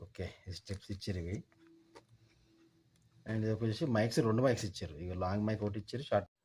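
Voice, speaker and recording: A man's voice: fairly low pitch, quick pace, crowd noise. A man giving a casual, step-by-step product walkthrough, mixing in English words. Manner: informal, explains step by step with English words mixed in. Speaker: product reviewer. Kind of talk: casual unboxing and installation walkthrough.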